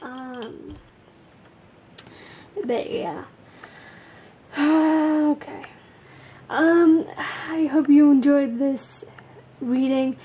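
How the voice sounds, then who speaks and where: Gravelly, tired young woman reading tarot. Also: high-pitched, extremely slow, pauses often, dark tone, slight background noise. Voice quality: gravelly, sleepy. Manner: blunt, swears casually, lots of fillers. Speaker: tarot card reader. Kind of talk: casual blunt tarot reading.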